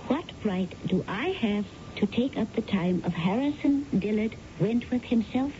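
Self-effacing widow, high voice, emphatic pleading delivery, 1970s radio drama, muffled noisy recording. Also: female voice, animated, a little slow. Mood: self-effacing, insistent. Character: earnest, self-effacing recent widow seeking financial help.

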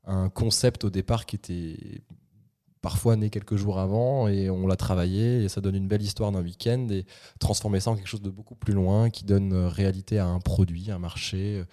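A man's voice: deep voice, steady tone, normal speed, warm tone. A man speaking as a podcast guest about supporting startups, talking eagerly with many restarts. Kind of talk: podcast conversation.

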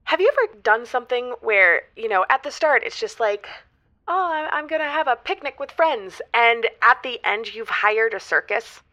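A woman playing a bubbly, over-eager lovestruck caller to a radio show in an audio drama, rambling excitably. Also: a little high, moderate pace, muffled recording. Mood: giddy. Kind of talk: semi-improvised audio drama, chatty anecdote.

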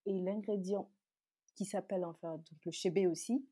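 A woman from Chad, a native Tazaga speaker, talks as an interview guest. Her voice is mellow and a little husky, recorded close and dry. She explains things in a conversational way. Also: mid-range voice, fairly even intonation, moderate pace, no echo. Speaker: interview guest, beauty brand founder.

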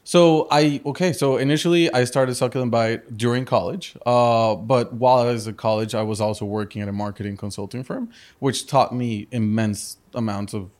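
A man's voice: mid-range voice, fairly even intonation, normal speed, a little mellow. A man in his thirties who grew up in Spain, a business guest talking in a casual interview, earnest, restarting sentences and tagging them with "correct?". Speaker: entrepreneur guest.

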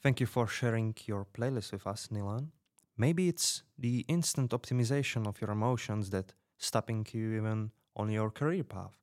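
A man playing a calm underground radio host in a fiction podcast, moderating gently. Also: lowish voice, moderate pace, no room sound, natural voice. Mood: calm, attentive.

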